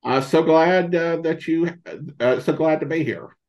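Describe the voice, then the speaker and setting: A middle-aged man, a podcast guest, answering in a casual, halting way and often rephrasing himself. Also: a little high, darkish tone. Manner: halting, hesitant, frequently rephrasing. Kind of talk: casual interview answers, reminiscing.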